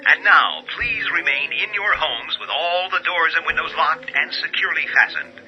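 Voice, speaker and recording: A formal, measured news announcer, voiced by a man, his voice slightly raised. The sound is dull and muffled, like an old broadcast. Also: fairly high pitch, soft background music, lightly acted. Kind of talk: radio drama acting.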